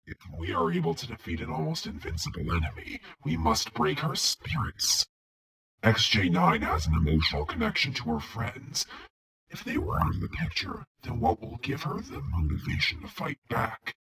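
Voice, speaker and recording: Tyrannical robot overlord, gravelly and husky, menacing, wide dramatic swings, cartoon villain. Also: male voice, on the high side, wildly swooping pitch, normal speed, fairly bright tone, heavily acted. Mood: scheming. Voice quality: gravelly, raspy. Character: tyrannical evil robot warlord, vengeful, grandiose, hateful. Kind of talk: scripted villain voice acting demo.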